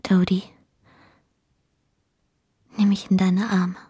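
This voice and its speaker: A childlike young wife lying gravely ill in a radio drama. Her voice is very breathy and sinks into whispers. She speaks tenderly and faintly, pleading like someone with little strength left. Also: mid-range voice, little pitch movement, slow pace, dark tone. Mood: tender longing. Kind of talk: German radio drama acting.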